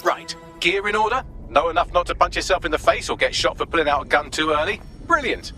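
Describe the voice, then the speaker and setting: A man's voice: fairly high pitch, fast, sharp tone, faint music behind. A man voicing a self-important, witty AI assistant in a video game, with a British accent and a sardonic, theatrical manner. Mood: cheerfully mocking.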